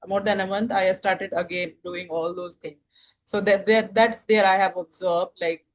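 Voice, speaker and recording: Earnest Indian woman, mid-pitched voice. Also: poor audio. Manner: earnest, explains step by step with fillers. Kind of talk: casual conversation practice.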